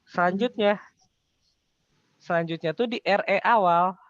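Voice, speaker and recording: A young man with a slightly high voice teaches in a casual, explanatory way. He poses questions and answers them himself. The recording is a little dull. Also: measured pace, a bit bright, dry recording. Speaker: accounting tutor. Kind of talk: informal explanatory tutoring.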